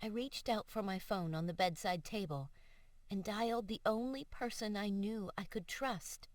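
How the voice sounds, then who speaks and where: A woman audiobook narrator reading first-person fiction in an expressive, emotive and deliberate way. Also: mid-range voice, no echo. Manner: emotive, measured, deliberate delivery. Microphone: studio voice-over microphone.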